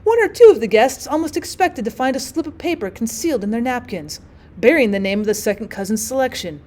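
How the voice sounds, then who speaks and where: A woman's voice: fairly high pitch, lively intonation, a little fast, a little mellow. A woman reading a classic short story aloud as scripted audiobook narration, like a teacher for her students.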